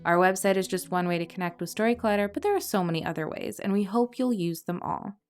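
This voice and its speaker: A woman's voice: mid-range voice, lively intonation, fast talker, faint music behind. A woman reading scripted narration as a podcast host.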